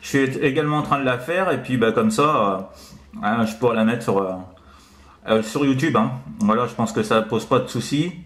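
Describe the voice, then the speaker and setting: A man chatting casually as a radio operator, in a halting, repetitive way full of verbal tics. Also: medium pitch, a bit bright, reverberant. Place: indoors in an apartment by a window.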